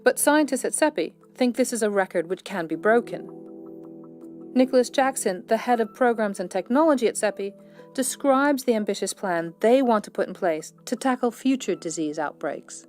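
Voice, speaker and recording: A woman reporter reading a scripted news feature in a clear, even delivery. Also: fairly high pitch, normal speed, soft background music.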